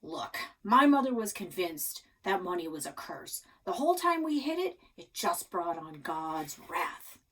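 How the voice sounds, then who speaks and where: Woman reading as a gruff, folksy old grandpa, husky. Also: fairly low pitch, very animated, unhurried, hardly any pauses, fairly bright tone, exaggerated voice. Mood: reminiscing, troubled. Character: stubborn, reminiscing old grandfather out fishing.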